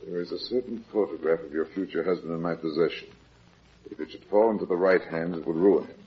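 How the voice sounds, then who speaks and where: A hard-boiled private eye in an old radio serial. His voice is low and slightly husky, and he talks in terse, deadpan, wry lines. The sound is muffled, vintage radio. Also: fairly quick. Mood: dry, knowing. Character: cool, blunt, hard-boiled private detective. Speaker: lead actor in radio detective serial. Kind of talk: 1950s radio detective drama acting. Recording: muffled 1950s radio recording.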